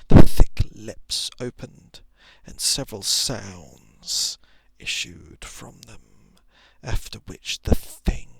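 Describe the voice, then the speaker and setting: A man narrates a horror tale in a soft ASMR delivery that often sinks into whispering. His voice is heavily gravelly with vocal fry, and he places his phrases deliberately with dramatic pauses. The sound is close and very dry, at an unhurried pace. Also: lowish voice, dry recording. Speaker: ASMR narrator reading a short horror story. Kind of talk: ASMR story reading, scripted narration. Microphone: close microphone.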